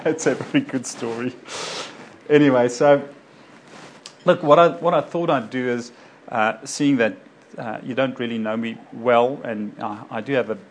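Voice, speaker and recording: A man gives a loose, chatty talk to a community group at a mid-range pitch. He wanders through his points, leaning on fillers and restarting sentences. There is a faint hum of room ambience behind him. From South Africa. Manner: rambling, many fillers and self-corrections. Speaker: doctor speaking to a local community group. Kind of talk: informal personal talk to an audience.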